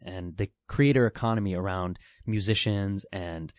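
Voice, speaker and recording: A man's voice: low-pitched, moderate pace, no room sound. A man hosting a podcast interview, effusive and enthusiastic. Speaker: podcast host.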